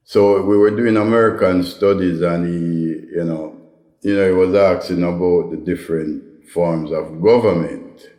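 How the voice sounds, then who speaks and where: A deep, mellow-voiced Jamaican man spins stories from his comedy career at an easy, rambling pace. He often tags his lines with "right?" and "you know". The room around him is echoey. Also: steady tone, measured pace. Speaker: comedian guest. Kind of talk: relaxed interview storytelling.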